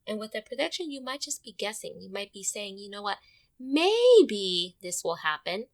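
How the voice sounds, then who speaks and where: A woman's voice: medium pitch, fairly bright tone. A woman teacher giving an interactive storybook read-aloud, explaining and addressing her young listeners directly.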